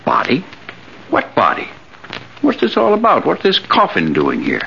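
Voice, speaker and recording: A man's voice: high-pitched, wildly swooping pitch, measured pace, creaky, sharp tone, full character voice. An actor playing a panicky, guilty businessman, agitated and blustering in an old radio thriller. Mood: indignant alarm. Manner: agitated, pleading, indignant. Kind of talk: 1940s radio thriller acting. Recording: dull, noisy old radio recording.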